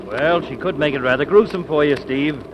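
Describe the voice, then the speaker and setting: Actor as a high-pitched, pushy scheming pal. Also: male voice, normal speed, muffled recording, natural voice. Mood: cautioning. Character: bridegroom's nervy, scheming, pushy male pal. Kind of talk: 1940s radio comedy acting. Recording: old radio broadcast with loud engine noise.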